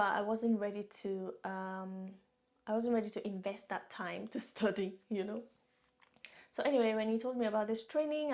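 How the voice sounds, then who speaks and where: A woman's voice: on the high side, darkish tone. A woman in her thirties with a Nigerian accent, chatting to camera about her career and often breaking into laughs and repeating herself. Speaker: vlogger. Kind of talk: casual storytelling to camera. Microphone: camera-mounted shotgun mic.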